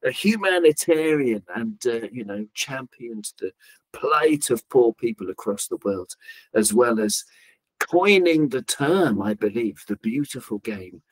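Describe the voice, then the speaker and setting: A man's voice: mid-range voice, expressive, unhurried, poor audio. A man hosting a casual football podcast, chatty and joking, with lots of fillers. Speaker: podcast host.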